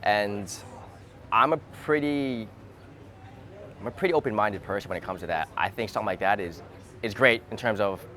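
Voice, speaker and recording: A man from the United States talking through his views in a relaxed conversation, hesitant and repeating words as he thinks aloud, recorded outdoors. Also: lowish voice, normal speed, long pauses. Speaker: interviewee. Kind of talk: thoughtful casual conversation. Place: outdoors in a city.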